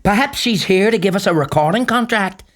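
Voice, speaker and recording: A man's voice: sits high, animated, fairly quick, fairly bright tone, voice pushed up. A man voicing an eager, boastful young boy in a storybook tale.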